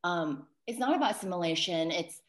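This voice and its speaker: An American woman with a slightly low voice speaks in a roomy, echoing space. She is reflective and thinks aloud, pausing and correcting herself as she discusses her work. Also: expressive. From New York City, United States. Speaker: playwright and solo performer. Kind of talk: reflective post-show discussion.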